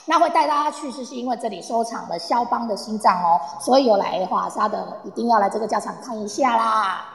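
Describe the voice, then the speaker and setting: A woman's voice: on the high side, fairly quick, creaky, few pauses. A woman playing a chatty, eager tour guide who explains patiently and coaxingly in a radio play. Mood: eager, explanatory. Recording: echoey reverberant room sound.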